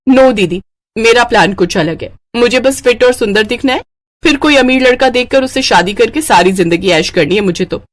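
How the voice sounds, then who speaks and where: A woman's voice: on the high side, normal speed, lightly acted. Lady playing a spoiled vain college girl in a cartoon, breezy and all about herself. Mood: smug, breezy. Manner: carefree, self-centred. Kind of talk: Hindi cartoon moral story acting.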